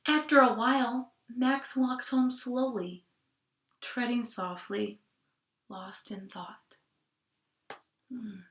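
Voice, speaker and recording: A woman teacher reading a picture book aloud to children, expressively, with hushed asides. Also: a little high, fairly even intonation, slow, pauses often. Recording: roomy, echoing sound.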